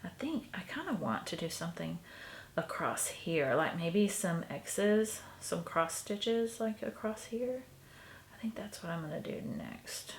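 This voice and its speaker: A woman, sniffly, softly talking through a stitching project in a casual tutorial, often trailing into a half-whisper. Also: fairly low pitch, animated, unhurried, vocal fry, slight background noise. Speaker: crafter showing a stitching project. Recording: echoey room recording.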